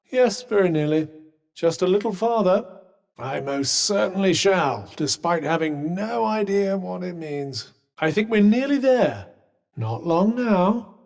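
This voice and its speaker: Snooty british talking magic bracelet for a video game, kinda high voice, dry n sarcastic, big long reverb. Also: male voice. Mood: wry, polite. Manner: dry, sardonic, wordy and formal. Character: witty, haughty sentient magical cuff companion.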